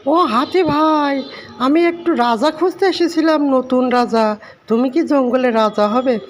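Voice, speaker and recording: A man voicing a sly, hungry cartoon jackal who wheedles with drawn-out calls. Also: very high-pitched, voice pushed up. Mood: wheedling.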